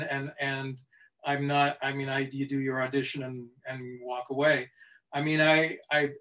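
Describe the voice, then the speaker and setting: Measured middle-aged man actor, slightly high voice. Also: a bit bright, rough recording. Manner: measured, hesitant, many restarts. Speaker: actor on a panel. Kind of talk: thoughtful panel answer.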